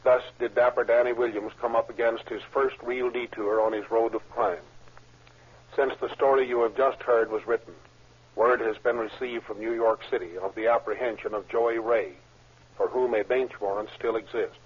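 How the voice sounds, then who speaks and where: A man narrator reading formal, measured scripted narration on a 1930s radio program. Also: medium pitch, fairly even intonation, breathy edge. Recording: dull lo-fi old radio recording.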